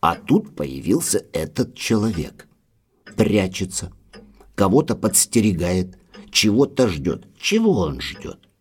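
Deferential manor butler, audio drama: low, expressive, uneasy, speaking with grave foreboding. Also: male voice, slow, husky, full character voice. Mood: anxious, foreboding.

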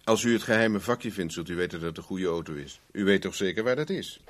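An actor in a 1970s radio play playing a sardonic, casual private investigator with a dry manner. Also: male voice, deep voice, expressive, fast talker. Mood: dry teasing. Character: Rex Carver, wry, unflappable male private investigator.

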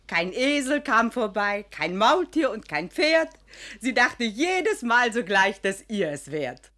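An actress playing an artless servant woman in a radio comedy, reciting rhymed verse in a measured sing-song. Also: on the high side, big pitch swings, sharp tone, soft background music, exaggerated voice. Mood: earnest. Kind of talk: classic German radio play of a verse comedy.

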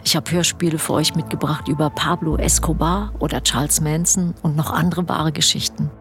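A woman's voice: sits low, steady tone, fairly quick, soft background music. A woman hosting a podcast, giving personal commentary straight to the listeners. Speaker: podcast host.

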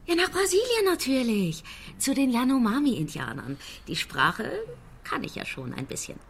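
A woman's voice: on the high side, sing-song, normal speed, creaky, slightly rough, exaggerated voice. A woman playing an eccentric, idealistic assistant in a radio drama, speaking in an earnest, dramatic way.

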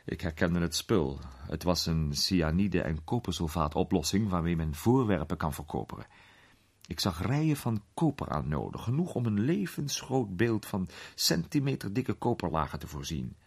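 An actor playing a curious amateur sleuth who tells a suspenseful mystery story in animated, colloquial radio drama narration. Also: male voice, sits low, moderate pace, gravelly, slightly rough, exaggerated voice. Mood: tense, intrigued. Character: curious male amateur sleuth recounting a suspenseful night adventure.

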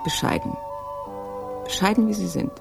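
A woman's voice: mid-range voice, lively intonation, normal speed, creaky, over music. An actress playing a cool, elegant woman who speaks in terse, mocking lines in a 1960s German radio drama. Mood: teasing, mocking. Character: cool, elegant, teasing woman in crime story.

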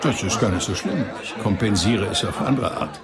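An actor playing a sensitive blind man who narrates his memories in a measured, wistful radio drama style. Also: on the low side, animated, over a noisy crowd, lightly acted. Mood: wry, reminiscing. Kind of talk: literary radio drama narration in first person.

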